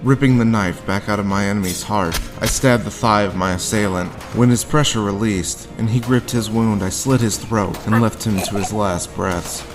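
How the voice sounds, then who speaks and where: An actor playing a grim, haunted war veteran, telling his story deliberately in a noir audio drama. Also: lowish voice, music in the background, lightly acted. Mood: grim, tense. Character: haunted hard-boiled male war veteran recounting his grim past.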